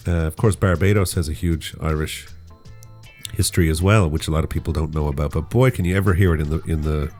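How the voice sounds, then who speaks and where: Male podcast host, very low voice, chatty and rambling, faint music underneath. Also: fast, a little mellow. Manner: rambling, jokey, explains slang. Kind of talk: casual chat.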